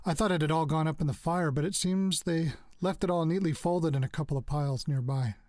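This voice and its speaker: Man playing an earnest, higher-voiced amateur sleuth. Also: fairly quick, darkish tone, no echo, studio quality, close to an everyday voice. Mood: earnest, intrigued. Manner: hesitant, informal, confiding. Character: earnest amateur sleuth dictating an audio message. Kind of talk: casual monologue, audio drama.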